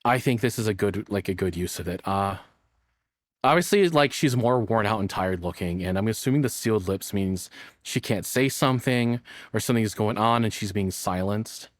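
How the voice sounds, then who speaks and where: Male streamer for casual reaction commentary: low voice, dry close sound, informal, sweary, enthusiastic. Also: moderate pace, dry recording.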